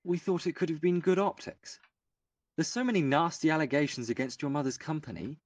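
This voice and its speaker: A man's voice: on the high side, brisk, dry recording, close to an everyday voice. A man playing a haughty, coldly polite father in an audio drama, pompous and condescending in a mannered way. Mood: coldly dismissive.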